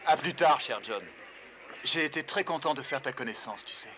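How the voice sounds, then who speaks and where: Bright, brash young adventurer. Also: male voice, mid-range voice, moderate pace, a little hiss or hum. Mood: friendly farewell. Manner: cocky, animated, teasing. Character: brash, teasing young adventurer, bold youth. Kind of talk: French cartoon dub acting.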